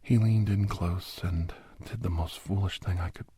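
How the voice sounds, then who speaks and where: A man playing a captive doctor who grimly recounts his escape, half-whispered in a breathy ASMR horror narration with halting, deliberate phrases. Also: deep voice, steady tone, mellow, a little hiss or hum, natural voice. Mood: grim, tense. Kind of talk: first-person scripted horror narration, ASMR.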